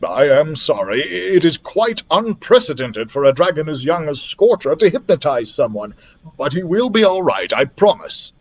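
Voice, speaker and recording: Man acting storybook doctor, grave, kindly teacher, measured manner, animated intonation. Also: a little high. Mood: apologetic. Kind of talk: audiobook character voice.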